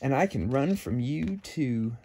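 A man's voice: medium pitch, animated, unhurried, warm tone, breathy edge. A man voicing a small, cheeky gingerbread cowboy from a storybook, boastful and taunting in singsong.

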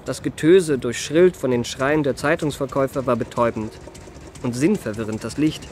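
An actor narrating a German radio play as an urbane confidence trickster recalling his youth, in measured, ornate, wryly genteel phrases. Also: mid-range voice, a little mellow, slight background noise, close to an everyday voice. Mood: wry, composed.

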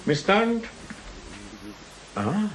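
An actor playing an uneasy, ingratiating professor who hesitates and placates, with a stern streak, in a radio drama. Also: male voice, high voice, big pitch swings, extremely slow, full character voice, poor audio. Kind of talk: German science fiction radio drama acting. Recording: audio drama with faint background noise.

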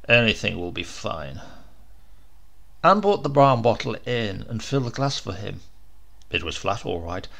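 A man's voice: medium pitch, big pitch swings, moderate pace, creaky, a bit bright. A man narrating a short story as a scripted, dramatic audiobook reading.

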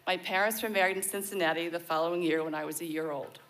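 An American woman in her sixties gives a measured, reflective personal speech at a podium microphone on an outdoor festival stage. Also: on the low side, fairly even intonation, fairly bright tone, a little hiss or hum. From born in Denmark, raised in the United States. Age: 60s. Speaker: guest speaker at a celebration. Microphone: podium mic on a stand.

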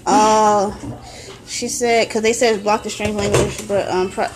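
A woman's voice: mid-range voice, flat delivery, measured pace, background noise. A woman in a home kitchen, reading viewers' comments aloud to the cook from a little way off. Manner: reads out comments plainly.

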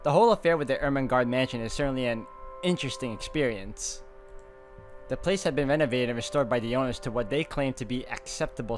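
A man playing through a visual novel, reading the text aloud and adding dry, joking asides. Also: medium pitch. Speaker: game walkthrough host. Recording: game music behind.

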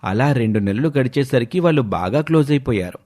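A man's voice: medium pitch, animated, fast, darkish tone. A man narrating a scripted story.

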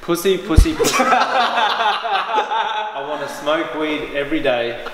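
A man's voice: a little high, a little slow. A young man casually reciting rap lyrics as if telling a story to friends. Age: young adult. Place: large living room indoors. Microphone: camera a few steps away. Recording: very echoey room, loud noise.

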